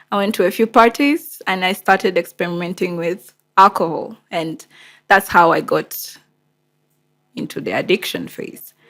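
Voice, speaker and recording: A woman's voice: medium pitch, a bit bright. A woman with a Rwandan accent and Kinyarwanda as first language, hosting and telling a personal story in a candid, conversational, emphatic way. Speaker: show host.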